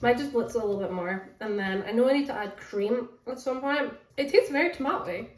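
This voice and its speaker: A woman's voice: on the high side, expressive, fairly quick. A woman vlogging at home in a casual, rambling way, with a stuffy cold. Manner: chatty, rambling with many fillers. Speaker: book vlogger. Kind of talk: casual vlog chat. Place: home kitchen. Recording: echoey room, mic at a distance.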